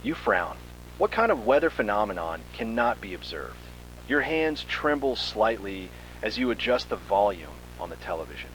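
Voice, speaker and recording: A man with a fairly low voice narrates a horror tale in the second person. His delivery is theatrical and full of suspense, swinging widely in intonation. A faint noisy hum sits behind the voice. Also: very animated, moderate pace, fairly bright tone. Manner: dramatic, suspenseful storytelling.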